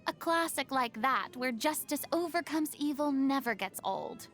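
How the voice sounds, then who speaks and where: A woman's voice: high voice, expressive, normal speed, fairly bright tone, soft background music. A woman voicing a proud, dramatic young stage idol with a secretly wistful side in a video game, speaking in a refined, theatrical way. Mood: theatrical, musing. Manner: theatrical, refined, haughty diction with dramatic swings. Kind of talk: video game character voice lines.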